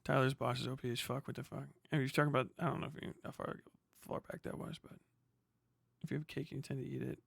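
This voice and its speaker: A man's voice: mid-range voice, flat delivery, fast talker, vocal fry, fairly bright tone, husky, no echo, clean studio sound. An American man hosting a livestream, talking casually and reacting to chat in a profane, slangy, rambling way. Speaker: livestream host. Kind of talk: casual livestream commentary, reading and answering chat.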